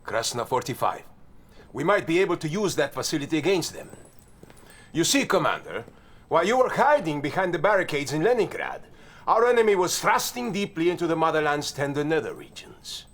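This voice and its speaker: An actor playing a stern, sardonic Soviet army general with a Russian accent in a video game cutscene. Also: male voice, fairly high pitch, very animated, a little fast, fairly bright tone. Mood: scornful, rousing. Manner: sardonic, blustering, disdainful.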